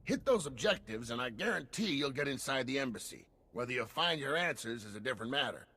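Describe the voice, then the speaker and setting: An actor playing a gruff, irreverent veteran security chief, blunt and wry in an informal way. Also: male voice, mid-range voice, lively intonation, fast talker, bright, forceful tone, full character voice. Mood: brisk briefing.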